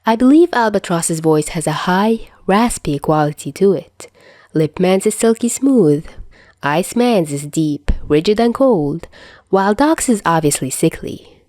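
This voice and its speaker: Woman narrator, fan fiction reading, lower pitch, dry close recording. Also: expressive, unhurried, darkish tone, no echo. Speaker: podfic narrator.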